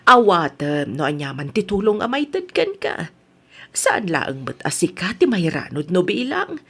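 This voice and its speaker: A woman's voice: lowish voice, big pitch swings, measured pace, fairly bright tone, husky, heavily acted. An actress with an Ilocano accent playing a concerned, insistent mother of a grown son in a radio drama.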